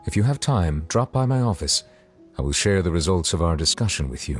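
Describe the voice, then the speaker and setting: Game statesman: deep, formal, solemn and courteous, soft music behind. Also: expressive, brisk. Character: grave, dutiful male official, formal and measured. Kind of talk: video game voice acting.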